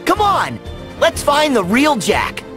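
Eager, slow-paced teenage boy hero. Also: extremely high pitch, huge pitch swings, sharp tone, faint music behind, full character voice. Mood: eager, determined. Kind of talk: animated video game cutscene acting.

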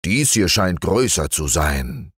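A man's voice: sits low, sing-song, slow, fairly bright tone, breathy edge, no room sound, studio quality, full character voice. A man voicing a grumpy, stout older male bear in a storybook reading, grumbling and a little sly.

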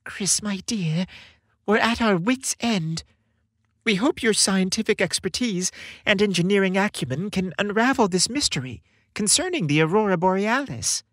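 A man's voice: high voice, lively intonation, moderate pace, fairly bright tone, no room sound, studio quality, voice pushed up. A man voicing an older motherly woman in a story, earnest and pleading. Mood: worried, pleading.

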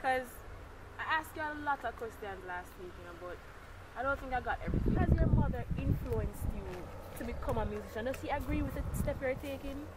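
A woman's voice: sits high, a little fast, very noisy. A young woman interviewing an artist outdoors in a casual, upbeat and teasing way. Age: young adult. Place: outdoors in a garden. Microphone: camera mic from a few feet away.

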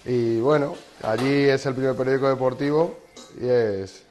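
A Spanish man in his thirties chats as a guest in a kitchen, with a mid-pitched voice. He wanders through long stories and restarts his sentences often. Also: fairly even intonation, moderate pace, darkish tone, slight background noise. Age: 30s. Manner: chatty, anecdotal, rambling with restarts. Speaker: sports journalist guest. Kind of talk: casual interview chat.

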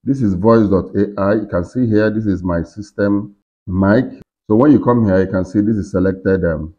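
A middle-aged man with a deep voice, giving a step-by-step software tutorial at his computer with plenty of fillers. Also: moderate pace. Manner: explains step by step with many fillers and restarts. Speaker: tech tutorial host. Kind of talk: screen-recorded software walkthrough. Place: indoor room at a computer desk. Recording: dull lo-fi screen recording.

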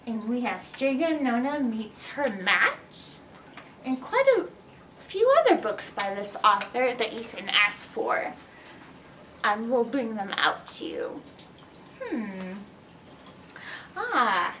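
A middle-aged woman hosting a read-aloud story time for young children, animated and teacherly in manner. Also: a little high. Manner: animated, exaggerated, teacherly, asking questions. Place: indoors in a library, by bookshelves. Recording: roomy distance from mic, background noise.

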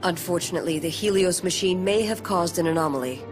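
A woman playing a composed, formal young female Vulcan scientist in a sci-fi game, speaking in a measured, precise way. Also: fairly low pitch, natural voice. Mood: grave, composed. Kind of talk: scripted sci-fi game acting. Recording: music loud under the voice.

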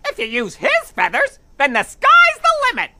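A woman voicing an excitable, cocky, hot-tempered bird in a dubbed cartoon, boastful, exclamatory and whiny, with music underneath. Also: sits high, normal speed, heavy background noise. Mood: eager, scheming. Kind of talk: animated cartoon dub acting.

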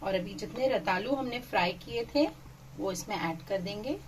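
A woman's voice: medium pitch, moderate pace, distant mic, slight background noise. A woman hosting her cooking channel, chatting through a recipe as voice-over and mixing English phrases into her talk.

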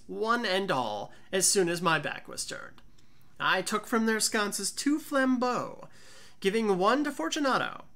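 A woman reading a classic tale as dramatic audiobook narration, theatrical and deliberate. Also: mid-range voice, bright, forceful tone. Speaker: amateur story reader.

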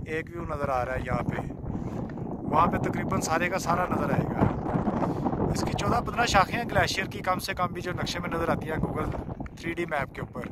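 Male travel vlogger, 30s, slightly high voice, casual narration over clearly audible outdoor wind noise. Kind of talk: casual vlog narration to camera. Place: outdoors in an open mountain valley. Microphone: handheld phone. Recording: windy outdoor phone audio, loud noise.

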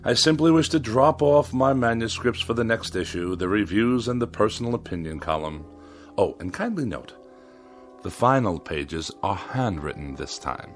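An actor playing a haughty, paranoid middle-aged writer in a radio drama, with florid, sarcastic speech. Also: male voice, lowish voice, lively intonation, normal speed, natural voice. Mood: disdainful. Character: pompous, paranoid, irascible middle-aged male writer who hates machines. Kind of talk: theatrical radio drama acting. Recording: studio radio drama with music behind.

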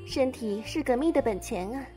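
A woman's voice: high-pitched, expressive, moderate pace, loud music behind the voice. A woman voice-acting an attentive, chatty bookstore employee in a Chinese audio drama. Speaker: voice actor.

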